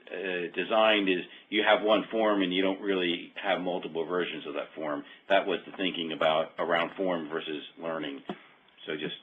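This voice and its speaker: A man presenting an informal technical walkthrough on a conference call, explaining in a hesitant, halting way and correcting himself as he goes. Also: deep voice, breathy edge. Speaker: software demo presenter. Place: remote web conference call. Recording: muffled phone-like conference line.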